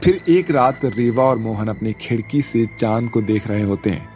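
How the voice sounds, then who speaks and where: A man's voice: medium pitch, lively intonation, normal speed, darkish tone, faint music behind. A man narrating a scripted spooky village story as its storyteller.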